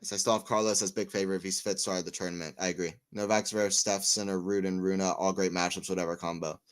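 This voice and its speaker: A man giving live tennis play-by-play on a stream, chatting with viewers and getting excited on big points. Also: low-pitched, fast, clear, resonant tone. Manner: excitable on rallies, rambling and self-mocking between points. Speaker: tennis watchalong commentator. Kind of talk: live play-by-play and casual chat.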